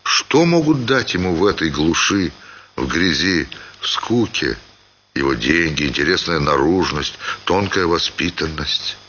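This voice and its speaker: A man reading a literary short story as expressive scripted narration, with dramatic, theatrical phrasing. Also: extremely low pitch, measured pace, husky, dull audio.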